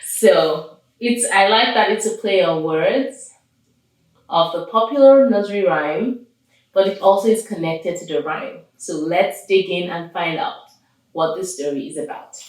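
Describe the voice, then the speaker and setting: A woman hosting a children's read-aloud, expressive and animated, mixing storybook reading with chatty questions to young listeners. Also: fairly low pitch, unhurried. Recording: very echoey room, distant mic.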